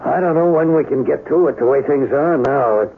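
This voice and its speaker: An actor playing a plain-spoken, embittered rural mechanic with a halting, folksy way of talking and a gravelly voice, in a radio drama. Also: male voice, on the high side, natural voice. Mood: bitter, angry. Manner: folksy, halting, ungrammatical speech. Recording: narrow phone-like, faintly noisy radio broadcast.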